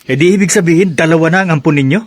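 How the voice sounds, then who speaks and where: A man's voice: fairly high pitch, rapid-fire, no echo, lightly acted. A man playing a pushy friend in a radio drama, teasing and insistent. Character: pushy male friend giving bad advice.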